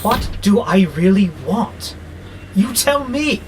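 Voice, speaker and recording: An actor playing a belligerent, sardonic warehouse robot who grumbles with exasperation in an audio drama. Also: male voice, high-pitched, lively intonation, slow pace, slight background noise. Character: grumpy, belligerent male warehouse robot, war-hungry, wary.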